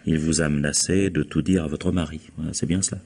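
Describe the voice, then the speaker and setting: A shrewd, dry police inspector from an old-style radio mystery. His voice sits low. He questions with wry authority and keeps pressing. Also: steady tone, fast talker, darkish tone, husky, full character voice. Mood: probing. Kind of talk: vintage radio mystery acting.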